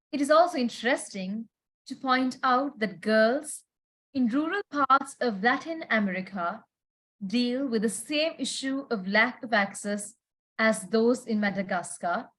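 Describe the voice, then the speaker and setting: A woman moderating a panel, reading scripted introductions in a formal, measured way. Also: on the high side, fairly bright tone, rough recording.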